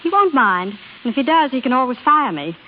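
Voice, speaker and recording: A woman's voice: high voice, big pitch swings, a little fast. An actress playing a sincere, earnest young working woman in love in a vintage radio drama. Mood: bright, exasperated. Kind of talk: 1930s-40s radio drama acting. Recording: muffled old radio recording with clear hiss.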